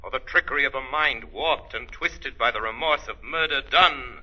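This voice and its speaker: A man's voice: medium pitch, moderate pace, pushed and bright. A man playing a mysterious, commanding unseen avenger in a dramatic, ominous 1930s radio style. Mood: ominous, taunting. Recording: muffled old 1930s radio recording.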